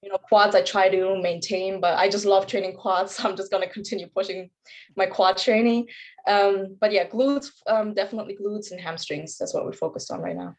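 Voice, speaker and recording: A woman's voice: medium pitch, fairly even intonation, brisk. A young woman from Germany with a German accent, chatting casually as an interview guest and athlete. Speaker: interview guest, competitive bodybuilder.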